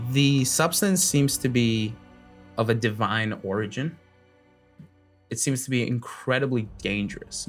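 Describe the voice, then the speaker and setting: A man's voice: medium pitch, a bit bright. A man working as game master, improvising tabletop narration in a casual, conversational way.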